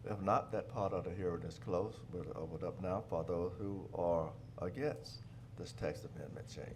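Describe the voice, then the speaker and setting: A man presiding over a council hearing makes short, formal procedural calls. His voice is very deep and comes across from some distance off the microphone. Faint room hum sits underneath. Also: moderate pace. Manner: brief and formal. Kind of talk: procedural meeting announcements.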